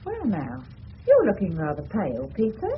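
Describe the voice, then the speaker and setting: Fussy suburban english wife, really sing-song, old radio drama, dull and hissy. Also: female voice, medium pitch, wildly swooping pitch, moderate pace. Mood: solicitous. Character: solicitous, fussing suburban English housewife. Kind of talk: 1970s radio drama acting. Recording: muffled, noisy old radio broadcast.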